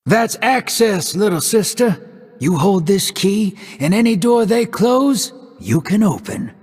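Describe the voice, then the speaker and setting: An actor playing a wry, easygoing outlaw ally in a video game. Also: male voice, sits high, expressive, slow, a little mellow, breathy edge, full character voice. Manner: wry, drawling. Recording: long hall reverb.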